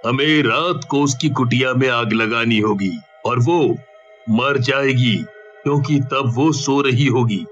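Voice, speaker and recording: An actor playing a calm, authoritative, cautious village chief in a horror story. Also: male voice, mid-range voice, unhurried, lo-fi sound, soft background music, natural voice. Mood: grave. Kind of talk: animated horror story dialogue.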